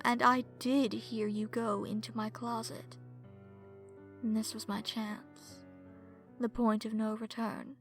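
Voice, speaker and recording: A woman playing a seductive, smug and predatory vampire in an ASMR horror story, speaking in a sultry, breathy way that slips into whispers. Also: fairly high pitch. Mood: coldly gloating. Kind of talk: ASMR horror story narration in first person.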